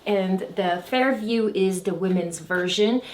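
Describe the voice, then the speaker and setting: A woman's voice: medium pitch, unhurried. A woman, walking through her gear in a casual vlog, careful and a little nervous. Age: 40s. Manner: explains carefully, a bit nervous. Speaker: travel vlogger. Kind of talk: casual show-and-tell vlog.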